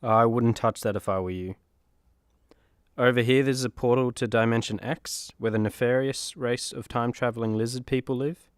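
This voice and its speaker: A man's voice: medium pitch, fairly even intonation, moderate pace, no room sound, studio quality, close to an everyday voice. A man playing a proud, nostalgic superhero showing off mementos in a comedy radio play. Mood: proud, informative. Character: proud, nostalgic adult male superhero giving a tour.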